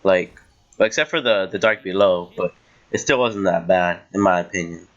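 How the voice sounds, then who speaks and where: A man's voice: low voice, moderate pace, fairly bright tone, muffled recording. A young man getting sick, ranting in casual voice-over commentary over gameplay, rambling with many fillers and restarts. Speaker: gaming channel host.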